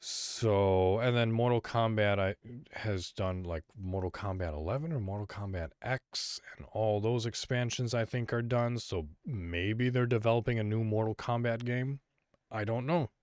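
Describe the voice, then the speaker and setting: A man's voice: on the low side, moderate pace, a little mellow, clean tone, dry recording, clean studio sound. A man from Illinois hosting a solo podcast, talking casually and rambling with frequent fillers and restarts. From Illinois, USA. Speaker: podcast host.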